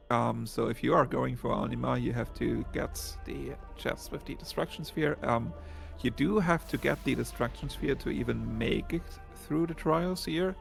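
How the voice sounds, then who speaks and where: Very creaky-voiced man explaining a game. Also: mid-range voice, a bit bright. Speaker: speedrun commentator. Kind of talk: casual explanatory game commentary. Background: video game music.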